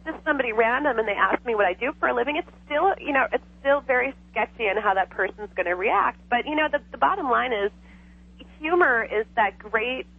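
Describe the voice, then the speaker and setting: A woman's voice: on the high side, lively intonation, a little fast, creaky, husky. Chatty woman over a tinny phone line. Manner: chatty, laughs often, lots of fillers. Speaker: psychic guest. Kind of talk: casual radio interview. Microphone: telephone.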